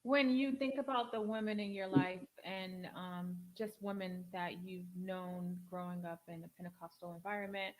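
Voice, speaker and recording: A woman's voice: lowish voice, fairly even intonation, moderate pace, hardly any pauses, slight background noise, rough recording. A woman hosting a podcast interview, casual and friendly, with light joking. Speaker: podcast host.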